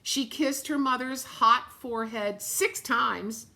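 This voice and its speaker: A woman reading a picture book aloud to young children, with gentle, simple explanatory asides. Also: fairly high pitch, slow pace, gravelly.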